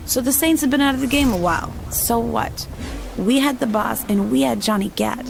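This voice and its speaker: A woman's voice: a little high, normal speed, vocal fry, over music. An actress playing a brash, hard-partying young gang woman, cocky and flippant, in a video game audio log. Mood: cocky, dismissive. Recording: noisy game capture.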